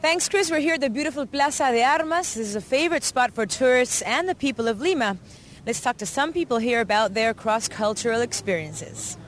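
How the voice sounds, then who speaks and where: Very high-voiced female reporter outdoors. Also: lively intonation, brisk, a little hiss or hum. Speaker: field reporter. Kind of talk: on-location reporting. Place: outdoors in a busy city square.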